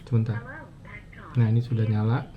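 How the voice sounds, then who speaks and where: A man giving a casual step-by-step demonstration, talking in a halting way as he thinks aloud with frequent pauses. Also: fairly low pitch, monotone. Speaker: motovlogger giving a gear tutorial. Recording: muffled, dull audio with clear ambient noise.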